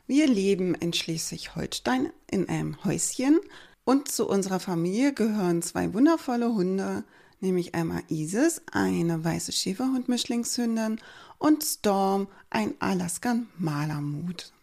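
A woman in her forties from Germany, a podcast host calmly introducing herself with fillers and repeated words. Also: mid-range voice, unhurried, creaky. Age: 40s. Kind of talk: personal introduction monologue.

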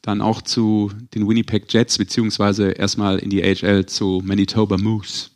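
A man's voice: on the low side, level tone, normal speed. A man hosting a casual sports podcast, chatting and teasing among colleagues. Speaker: podcast host.

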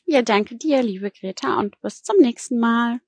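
A woman's voice: on the high side, a little slow, darkish tone, no room sound. A woman from Germany, a journalist giving conversational, explanatory commentary in an interview.

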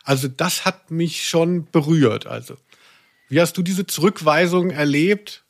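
Higher-voiced man hosting a podcast. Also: measured pace, creaky. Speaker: podcast host. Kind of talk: reflective conversational podcast talk.

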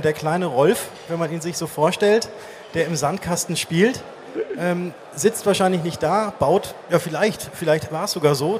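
A man's voice: a little high, a little mellow. A man hosting a casual interview on stage at a live event. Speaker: interview host. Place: live stage at a trade fair. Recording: faint background noise, live event.

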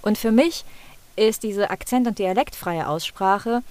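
A woman from the Rhineland, a pronunciation content creator, telling stories in a lively, expressive way as a guest in a casual interview. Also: medium pitch, a little slow. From Rhineland, Germany.

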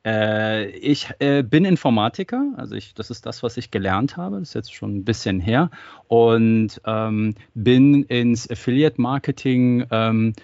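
A man's voice: medium pitch, expressive, unhurried, gravelly, a little mellow, no echo. A man who grew up in Germany, speaking as a podcast guest in a casual interview, hesitant with many fillers and restarts. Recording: dull lo-fi dry sound.

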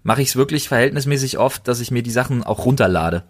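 A man's voice: medium pitch, animated, fast talker. A man co-hosting a podcast, chatting casually in slangy, colloquial language. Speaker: podcast co-host.

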